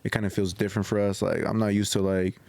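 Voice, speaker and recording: A man with a lowish voice, rattling off slangy casual talk at a quick clip as a podcast co-host. The sound is close and dry, with no room in it. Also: little pitch movement, vocal fry, a little mellow, dry recording. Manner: fast, slangy, frequent agreeing murmurs. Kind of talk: casual chat.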